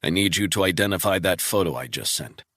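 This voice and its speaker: A man's voice: deep voice, animated, brisk, pushed and bright, no room sound, heavily acted. A man voicing a hard, grim male agent who speaks in terse, clipped lines, in a dry audiobook reading. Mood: cold.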